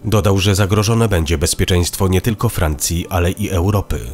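A man narrates the news in a calm, measured studio read. His voice sits very low and deep. Soft music plays faintly underneath. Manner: even, measured reading. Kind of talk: scripted news voice-over. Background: faint background music.

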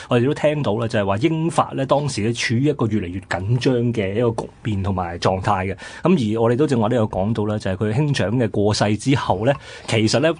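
A man's voice: on the low side, a little mellow, slightly rough. A man with a Hong Kong Cantonese accent, narrating history conversationally as a radio co-host.

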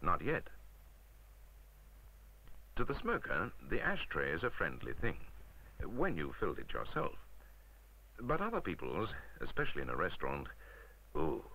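A British man with a deep voice and very animated intonation narrates a vintage 1960s instructional film. He is wry and emphatic, putting rhetorical points to the listener in a crisp scripted style, with a bright, forceful edge. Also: low-quality recording.

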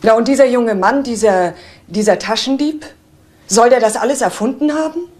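A woman's voice: fairly high pitch, normal speed, a little mellow, natural voice. A woman acting a polite, reserved daughter of a suspect in a radio crime drama. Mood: doubtful.

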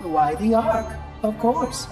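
A prim little robot caretaker for a game, high and swooping in pitch. The delivery is formal, fussy and pedantic, with loud music behind. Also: male voice, very animated, slow pace, distant mic, heavily acted. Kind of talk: video game cutscene acting.

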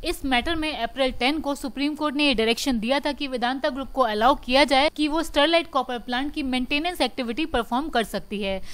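A woman with a high, smooth voice reads stock market updates over a screen recording. She moves through each point in a steady, explanatory way and slips English terms into her sentences. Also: brisk, few pauses. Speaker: stock market news presenter. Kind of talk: scripted market news voice-over.

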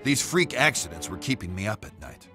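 An actor playing a weary, dogged American police detective in a video game, matter-of-fact and noir in style. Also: male voice, fairly low pitch, very animated, a little fast, pushed and bright, soft background music. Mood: brooding. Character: weary, dogged male police detective investigating strange deaths. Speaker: detective character and narrator. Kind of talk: noir game voice acting and scripted narration.

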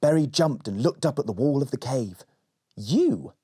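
A man in his twenties reading a children's picture book aloud in an expressive storytelling way. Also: mid-range voice, fairly quick, breathy edge. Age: 20s. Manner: expressive, acts out dialogue.

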